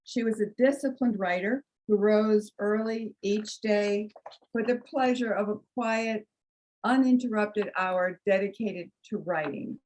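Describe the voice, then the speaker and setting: A woman reading poetry and introducing it, with measured, deliberate phrasing. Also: mid-range voice, darkish tone, low-quality recording. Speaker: congregation member reading poetry.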